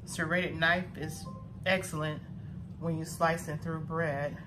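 A woman's voice: sits low, flat delivery, slow, background noise. A woman with a Southern US accent hosting her own cooking show, walking viewers through a recipe step by step in a homey, instructive way.

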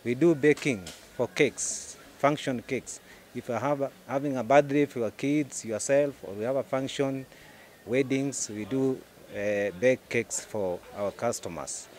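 A middle-aged man with a Kenyan accent, a store manager giving promotional interview answers in short, halting phrases into a handheld mic. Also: mid-range voice, darkish tone. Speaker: supermarket branch manager, interviewee. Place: inside a supermarket. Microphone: handheld microphone. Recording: faint music behind the voice. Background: faint music.

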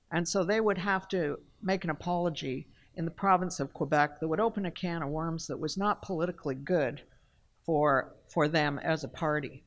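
An older woman from Canada, speaking on a panel in a large hall into a table mic, telling her story earnestly and with emphasis. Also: fairly low pitch. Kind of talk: panel discussion talk. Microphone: table mic on a stand.

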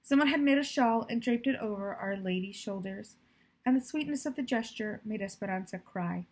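A young woman reading a children's novel aloud in a storytelling style, with a husky voice. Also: mid-range voice, normal speed. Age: young adult. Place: plant-filled room indoors by a window.

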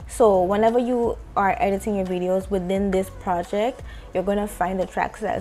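A young woman with a West Indian accent, walking through a video editing tutorial in a casual, conversational way and articulating her words with care. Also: mid-range voice. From West Indies. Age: young adult. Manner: relaxed, conversational, careful articulation. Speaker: content creator tutorial host. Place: small indoor home studio. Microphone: handheld microphone close to mouth.

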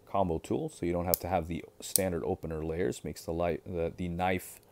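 Low mellow-voiced guy showing off a pocket knife at his table, easygoing, lots of ums. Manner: relaxed, unhurried, with fillers and trailing off. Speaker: knife collector reviewer. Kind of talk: casual show-and-tell. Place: indoors at a cutting-mat table. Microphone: overhead camera on hands, voice from off-frame.

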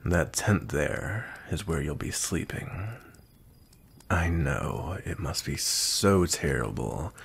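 A man playing a grumpy, cold, commanding vampire knight in a roleplay ASMR, husky and gravelly, speaking in a stern, measured way. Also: extremely low pitch, expressive, a little hiss or hum. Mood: gruff, softened.

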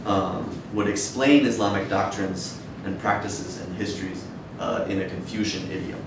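A man in his thirties giving an academic lecture in a measured, hesitant way. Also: steady tone. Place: dim wood-shelved seminar room with audience, indoors. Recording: echoey room, distant mic, noisy rumble.

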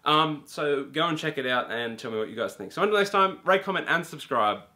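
A young man giving an animated, casual spoken review to camera in a small room, full of ums and casual swearing. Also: fairly high pitch, fairly quick. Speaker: video game reviewer. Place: small indoor room with a brick wall and shelves.

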